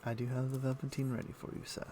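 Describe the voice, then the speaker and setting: Man, game streamer, creaky voice, mid-range pitch, casual rambling talk, faint background noise. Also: level tone, quick pace, warm tone. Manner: rambling, thinking aloud to himself. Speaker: livestream host. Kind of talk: casual gaming stream chat.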